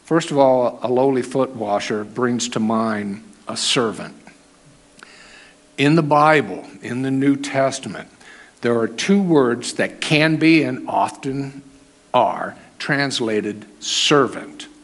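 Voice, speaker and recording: Loud-voiced man preaching a sermon. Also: medium pitch, expressive, takes it slowly. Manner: loud voice held down, conversational with restarts, asks the audience questions. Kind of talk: church teaching sermon. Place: church hall.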